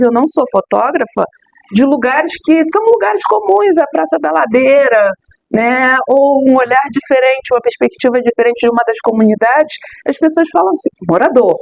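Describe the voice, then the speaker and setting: A middle-aged woman speaking as a neighborhood tour guide, her voice a little high. She talks emphatically, stretches words and keeps checking in with her listener. The sound is close and dry, with no room around it. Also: vocal fry, no echo, low-quality recording. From Rio de Janeiro, Brazil. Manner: expressive, emphatic, drawn-out words, many tag questions. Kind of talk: animated interview answers.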